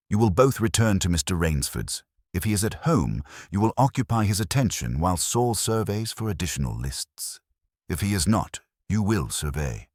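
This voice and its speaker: A man voicing an imperious, pedantic older detective in an audiobook, speaking in formal, measured, pompous sentences. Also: low-pitched, no room sound, studio quality.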